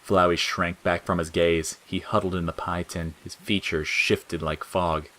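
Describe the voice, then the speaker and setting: A man's voice: low voice, level tone, measured pace, bright, forceful tone. A man reading scripted fiction as a dramatic audiobook narrator.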